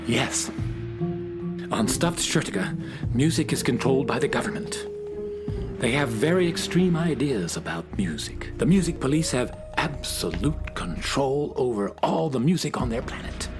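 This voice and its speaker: Man playing an earnest, animated male schoolteacher. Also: medium pitch, measured pace, over music. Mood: earnest, concerned. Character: earnest, courteous male schoolteacher of music on an alien planet. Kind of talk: children's audio drama acting.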